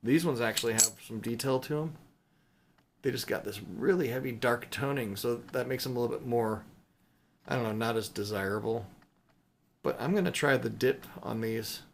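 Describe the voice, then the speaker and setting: A man's voice: medium pitch, moderate pace, slight background noise. A man chatting casually and unscripted to viewers as a hobbyist host, informal and rambling, thinking aloud as he goes. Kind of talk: casual unscripted chat to viewers while showing items.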